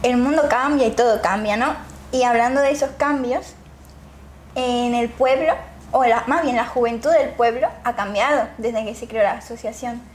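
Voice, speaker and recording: A woman hosting a podcast, asking gentle, attentive follow-up questions. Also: fairly high pitch, normal speed. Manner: soft-spoken, attentive, brief backchannels. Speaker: podcast host. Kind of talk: interview questions. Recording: roomy with light noise.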